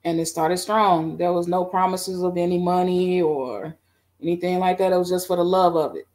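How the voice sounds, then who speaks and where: A middle-aged woman on a video call, recounting her band history in a relaxed, rambling way with many fillers. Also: fairly low pitch, normal speed. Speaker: guest band musician. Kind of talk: casual interview. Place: home room.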